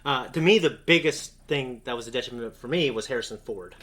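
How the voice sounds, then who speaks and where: Fast-talking man chatting casually. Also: medium pitch, fairly bright tone. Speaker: co-host of a reaction show. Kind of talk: casual fan discussion.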